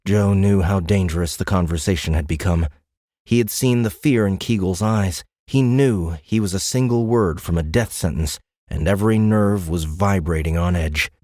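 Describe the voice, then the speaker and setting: A man reading scripted dramatic audiobook narration in a measured, suspenseful manner. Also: low-pitched, dark tone, no room sound, clean studio sound.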